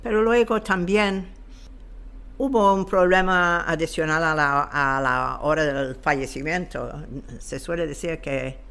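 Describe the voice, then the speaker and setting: Casting: male interviewee with a fry-laden voice, unhurried and halting, explaining history while feeling for the right word. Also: a little high, pushed and bright. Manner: hesitant, halting, searching for words.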